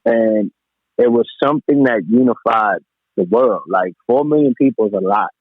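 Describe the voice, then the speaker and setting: Over a thin, phone-like line, a man with a lowish voice talks casually as a podcast guest. He circles back to the same phrases for emphasis, and there is almost no room sound around him. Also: normal speed, no echo. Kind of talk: casual music discussion. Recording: tinny, phone-like radio sound.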